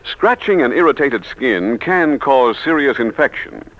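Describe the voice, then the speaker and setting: A man's voice: medium pitch, expressive, unhurried, a bit bright. A man announcing in scripted 1940s radio commercial style. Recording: dull vintage radio recording.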